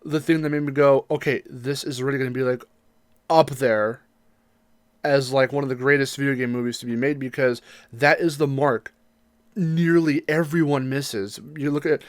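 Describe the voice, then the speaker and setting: A man's voice: medium pitch, steady tone, moderate pace, dry recording. A man co-hosting a podcast, chatting casually in an informal, enthusiastic way. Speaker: podcast co-host. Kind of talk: casual chat about games and movies.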